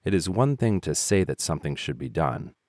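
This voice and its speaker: A man narrating fables in an expressive, measured storytelling style. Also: a bass voice, no echo, clean studio sound.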